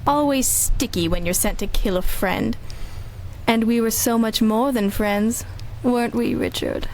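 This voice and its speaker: A woman playing a cold, seductive female assassin in a radio drama, taunting and deliberate. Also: on the high side, darkish tone, a little hiss or hum, rough recording. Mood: coolly menacing.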